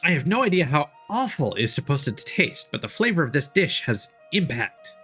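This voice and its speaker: A little forest spirit from a fantasy game, put on by a man, naive and gentle in manner. The voice is bright and lively, with simple, earnest phrasing. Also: fairly high pitch, normal speed, slightly rough, soft background music, heavily acted. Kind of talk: voiced reading of game dialogue.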